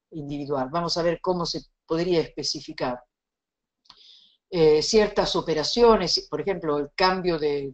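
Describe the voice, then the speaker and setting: A middle-aged woman from Italy with an Italian accent, giving a recorded lecture in a measured, reflective way with pauses and restarts. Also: low-pitched. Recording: slightly dull lo-fi sound.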